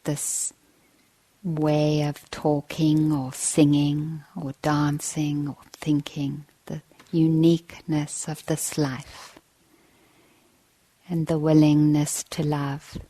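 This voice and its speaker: Female meditation teacher, low and fairly even in tone, gentle, measured delivery with generous pauses. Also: breathy edge. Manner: gentle, measured, with long pauses between short phrases. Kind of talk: guided meditation dharma talk.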